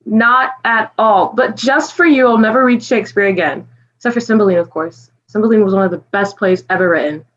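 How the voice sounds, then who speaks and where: A woman's voice: mid-range voice, a little fast, dull audio, close to an everyday voice. A woman playing a bewildered, skeptical man in a staged comedy reading, speaking bluntly and with incredulity. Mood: exasperated. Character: exasperated, skeptical young man, bewildered listener William.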